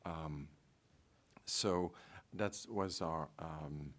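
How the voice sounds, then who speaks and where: A middle-aged man with a French-speaking Belgian accent, a trade negotiator giving halting panel remarks full of ums and restarts. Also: very deep voice, fairly bright tone.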